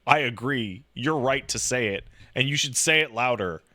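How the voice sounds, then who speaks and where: Sarcastic guy ranting casual, bright edgy tone, dead dry mic. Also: medium pitch, normal speed, dry recording. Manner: sarcastic, self-deprecating. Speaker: video essayist, host. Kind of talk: casual commentary.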